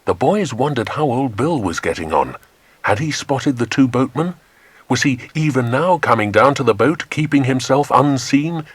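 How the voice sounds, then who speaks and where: A man's voice: medium pitch, a bit bright, slightly rough, dry recording. A British man narrating a dramatised children's adventure audiobook.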